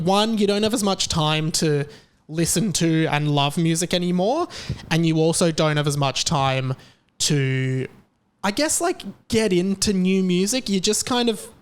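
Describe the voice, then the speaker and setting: A man's voice: fairly high pitch, animated, unhurried, smooth. A young Australian man hosting his solo podcast in a chatty, rambling way, with fillers. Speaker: podcast host. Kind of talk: casual solo podcast monologue.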